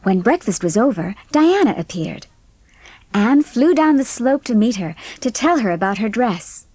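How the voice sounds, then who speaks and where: A woman narrating an audiobook story in an expressive, scripted storytelling manner. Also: fairly high pitch, normal speed, no room sound.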